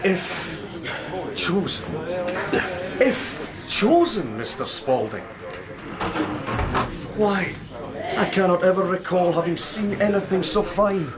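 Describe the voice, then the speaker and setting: Pompous official, florid and effusive, lightish pitch with big swoops, crowd murmuring behind. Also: male voice, expressive, takes it slowly, pauses often. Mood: gushing admiration. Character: brisk, effusive red-headed League manager, grandly officious. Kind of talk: radio drama acting.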